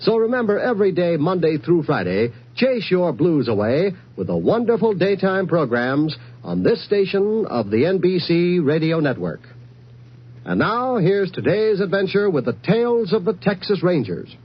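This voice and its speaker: A man's voice: sits high, very animated, moderate pace, husky. An American man announcing programs in a polished, salesy 1950s radio promo style. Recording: dull 1950s radio recording.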